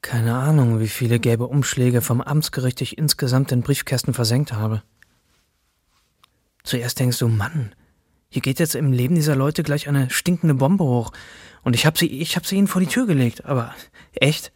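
A man's voice: mid-range voice, normal speed, breathy edge, dry recording, clean studio sound, lightly acted. An actor playing a sardonic, streetwise bike courier narrating his job in a radio drama. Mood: exasperated, wry. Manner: colloquial, sardonic. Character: wry, streetwise male bike courier telling his story. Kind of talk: dramatic first-person narration.

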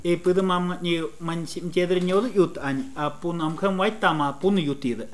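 A man interpreting a sermon phrase by phrase, quick and hesitant, with fillers. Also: sits high, steady tone.

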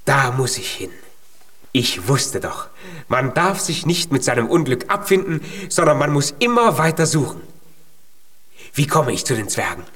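A man's voice: medium pitch, sing-song, measured pace, fairly bright tone, slightly rough, full character voice. An actor in a children's radio play, playing a tiny male giant who is naive, earnest and full of hopeful pluck. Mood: hopeful, determined.

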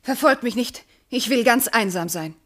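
Gekränkte Fürstin mit hoher Stimme. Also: female voice, a little high, lively intonation, normal speed, a bit bright. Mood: wounded, resolute. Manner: declamatory, formal, haughty. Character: noble princess, offended, dignified and resolute wife. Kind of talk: classical German verse drama radio acting.

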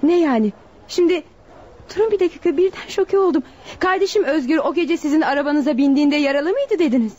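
A woman acting a high-voiced, questioning young sister. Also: fairly quick, dark tone, close to an everyday voice. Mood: shocked, questioning. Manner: earnest, probing. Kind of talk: Turkish radio drama acting. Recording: muffled radio drama recording.

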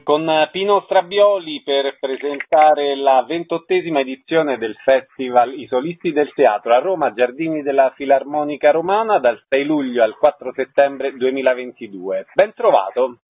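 A man's voice: medium pitch, moderate pace, hardly any pauses, husky, dry recording. A man interviewing guests for a radio arts programme. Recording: slightly muffled, dry lo-fi radio audio.

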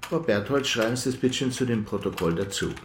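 A man's voice: medium pitch, lively intonation, quick pace, natural voice. A roomy radio-drama recording with faint noise of an actor as a senior policeman from an officious mould. He, insistent and indignant, as if dictating for the record. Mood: officious insistence. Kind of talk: radio crime drama acting.

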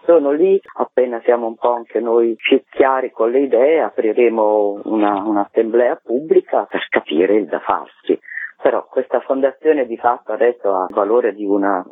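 A man's voice: mid-range voice, no room sound. A man talking candidly and conversationally as a guest in a radio interview. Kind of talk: spontaneous radio interview talk. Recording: thin telephone line on radio.

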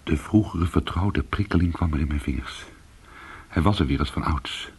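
Hard-boiled ex-burglar, very husky, deep-pitched, brooding, intimate radio-play storytelling. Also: male voice, moderate pace, a little mellow, exaggerated voice. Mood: hushed, suspenseful. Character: weary male ex-cat-burglar recounting a tense night, hard-boiled.

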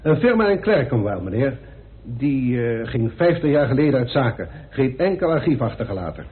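A man's voice: medium pitch, big pitch swings, normal speed, natural voice. A man playing a deferential, matter-of-fact police inspector reporting his findings in a radio drama. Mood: reporting, resigned. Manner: respectful, factual, clipped reporting. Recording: tinny band-limited old radio recording.

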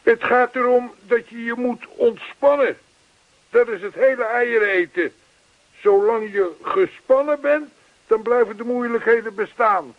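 A man's voice: very high voice, unhurried, no room sound. A man playing an earnest, chatty older neighbour in a radio play, persistent, reassuring and long-winded. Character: earnest, chatty older male neighbour zealous about yoga. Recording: thin, phone-like old radio play recording.

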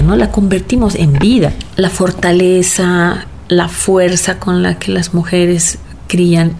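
Mellow Bolivian woman, noisy recording. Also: on the low side. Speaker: interviewee from a mining community. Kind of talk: reflective personal testimony. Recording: clear background noise.

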